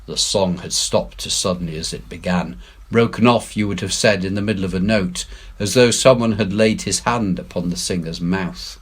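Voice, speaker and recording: A man giving a dramatic, measured, expressive reading of an adventure novel. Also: deep voice, slightly rough. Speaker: story reader, head teacher.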